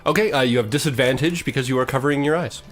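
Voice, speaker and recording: A man hosting as game master, narrating a tabletop fantasy game in a halting, descriptive way. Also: mid-range voice, faint music behind. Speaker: game master, podcast host.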